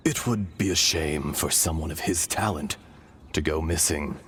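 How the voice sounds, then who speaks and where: An actor playing an ancient, weary dragon spirit inside a young man's body, speaking in a solemn, measured, hushed way, with game music behind. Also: very deep voice, slight background noise. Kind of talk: video game voice acting. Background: orchestral game music.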